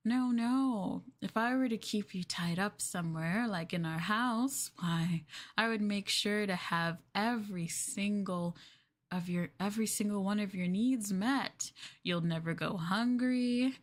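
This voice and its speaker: A woman's voice: mid-range voice, unhurried, close to an everyday voice. A woman playing a sweet, coy, possessive yandere girlfriend in an ASMR roleplay, with a doting, hesitant way of talking. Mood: doting, quietly menacing.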